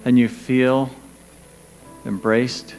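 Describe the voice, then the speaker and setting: A man leading a guided meditation, speaking gently and soothingly in short, spaced phrases with pauses. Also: mid-range voice, little pitch movement, poor audio. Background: background music.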